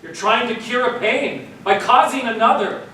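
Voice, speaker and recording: An actor playing a sober chorus member who reproves in measured words. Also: male voice, very high voice, expressive, a loud crowd. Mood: reproving. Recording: echoey room, far from mic, audience.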